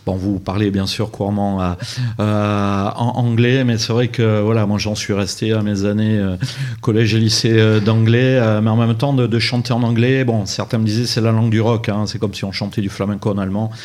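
A man's voice: fairly low pitch, flat delivery, brisk, darkish tone. A man hosting a radio interview, rambling and jokey, with many fillers and restarts. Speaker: radio host.

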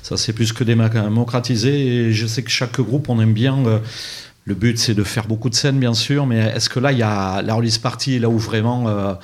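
A man's voice: mid-range voice, fairly even intonation, moderate pace. A man hosting a radio interview, rambling and jokey, with many fillers and restarts. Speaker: radio host.

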